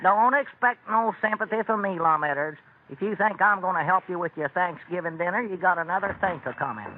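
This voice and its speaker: An actor playing a grumbling, gullible small-town sidekick with a rural Southern US accent in an old-time radio comedy. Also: male voice, high-pitched, a little fast, natural voice. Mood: sulky. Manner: folksy, querulous. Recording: noisy, tinny old radio recording.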